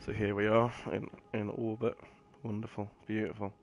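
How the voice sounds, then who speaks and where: A man's voice: lowish voice, little pitch movement, moderate pace, slight background noise. A British man giving casual, chatty, rambling commentary while streaming a video game. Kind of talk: casual gameplay commentary with banter. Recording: game music and sounds behind. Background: video game music.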